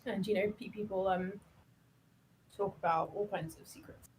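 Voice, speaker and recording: A woman's voice: medium pitch, fairly even intonation, moderate pace, darkish tone, low-quality recording. A woman chatting casually while playing a game, thinking through ideas aloud in a tentative, reasoning way. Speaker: co-commentator on a game playthrough. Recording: clear background noise over voice.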